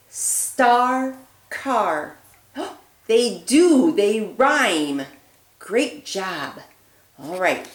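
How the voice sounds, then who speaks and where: A woman teaching young children a rhyming lesson in a slow, sing-song voice with lots of praise. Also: fairly high pitch, roomy sound.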